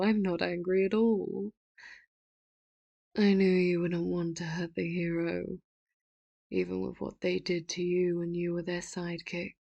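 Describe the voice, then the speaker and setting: A woman voicing a manipulative, doting villain in an ASMR audio roleplay, speaking slowly and softly with sudden sharp threats, close to the mic. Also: fairly low pitch, gravelly, dry recording, muffled recording, full character voice. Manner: slow, hushed, often whispered, turns sharp and loud for threats. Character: doting, manipulative villain, soft-spoken and menacing.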